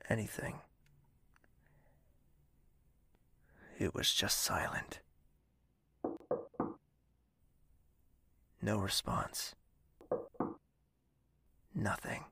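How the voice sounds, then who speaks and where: Male actor as a melancholic castle insomniac, man, husky, slow, hushed, pensive, lovelorn, audio drama narration. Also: mid-range voice, no echo, full character voice. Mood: empty, subdued.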